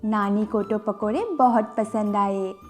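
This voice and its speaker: A woman's voice: medium pitch, fairly even intonation, a little slow, darkish tone. A woman narrating a scripted moral bedtime story as a storyteller. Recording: music bed under the voice. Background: background music.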